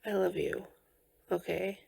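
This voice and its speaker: A woman playing a tender, caring girlfriend in an ASMR comfort roleplay, speaking gently and reassuringly in a breathy voice that often slips toward a whisper. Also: fairly low pitch, level tone, slight background noise. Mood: soft, affectionate. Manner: gentle, slow, reassuring, with soft pauses.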